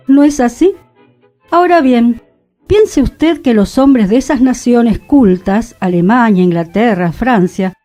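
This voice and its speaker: A woman with a Rioplatense Spanish accent reads a literary essay in a radio studio. Her voice is mellow and soft-edged, and her pitch rises and falls widely as she leans into each rhetorical question. Music plays faintly under her. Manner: expressive, rhetorical reading. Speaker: radio host. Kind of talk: reading a literary essay aloud.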